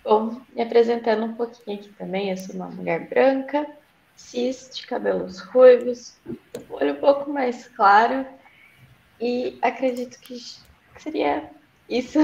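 A woman co-hosting a live online show, upbeat and relaxed, speaking over a video call. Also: on the high side, creaky, slight background noise. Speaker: co-host. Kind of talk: casual live show chat. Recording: echoey room, dull lo-fi sound.

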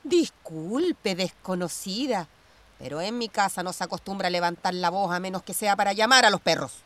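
A woman's voice: medium pitch, moderate pace, a bit bright, close to an everyday voice. A woman playing an older, imperious, paranoid ex police commander in a radio play, cold and sarcastic, with a Chilean accent. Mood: scornful. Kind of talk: Spanish-language radio theatre acting.

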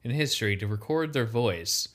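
A man's voice: medium pitch, expressive, moderate pace, fairly bright tone. Man tossing in brief joking remarks. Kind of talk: casual chat.

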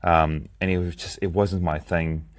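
Hesitant deep-voiced Lithuanian-born man. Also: flat delivery, moderate pace. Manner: reflective, hesitant with many ums and restarts. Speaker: podcast host and founder. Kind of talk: personal diary monologue.

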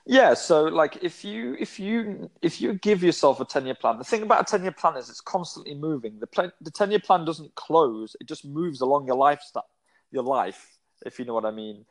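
A man's voice: on the high side, expressive, normal speed. A man in his twenties, a guest in a casual interview, talking in a rambling way with many fillers and restarts. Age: 20s.

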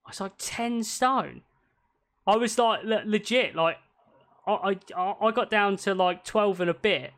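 A man hosts a laid-back podcast from home, talking in loose, rambling runs full of restarts and cheerful swearing. His voice sits high and sounds bright and sharp. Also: unhurried. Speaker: podcast host. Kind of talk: casual podcast chat. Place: at home, recording over a video call.

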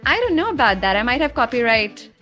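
A woman hosts a podcast with eager enthusiasm and a playful, teasing edge. Her voice sits fairly high. Faint music plays underneath. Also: very animated, fast talker, a bit bright. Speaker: podcast host. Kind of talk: podcast conversation.

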